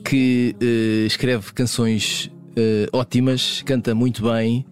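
A man presenting songs in casual radio chat, pausing often with fillers and restarts. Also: medium pitch, little pitch movement, soft background music. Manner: hesitant, many fillers and restarts.

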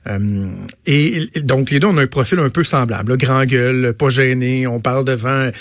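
A man's voice: mid-range voice, moderate pace, no echo, studio quality. A man in his late thirties with a Québécois accent, co-hosting a radio show in a casual, colloquial chat style. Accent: Québécois French. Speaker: radio co-host.

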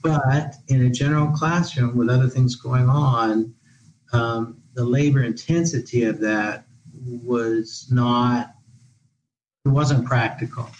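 A man's voice: mid-range voice, fairly even intonation, slow, dull audio, slight background noise. Rambling male podcast guest. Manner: discursive, many restarts. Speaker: guest education expert. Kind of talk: podcast interview. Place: living in Portugal.

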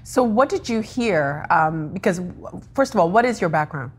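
A woman hosting a broadcast interview, delivering scripted introductions in a measured way. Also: fairly low pitch, lively intonation, slight background noise. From Africa. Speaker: host.

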